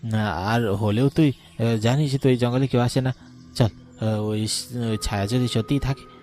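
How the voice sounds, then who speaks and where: A man's voice: medium pitch, steady tone, unhurried, mellow, soft background music, natural voice. Man playing a timid, pleading village lad. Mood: frightened, pleading. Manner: hesitant, pleading. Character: timid, frightened village boy pleading with friends and elders.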